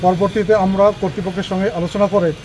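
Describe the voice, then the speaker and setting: A man's voice: sits high, little pitch movement, fast, warm tone, slight background noise, low-quality recording. A man, an anti-corruption official, giving a formal, bureaucratic statement to the press outdoors at a hospital.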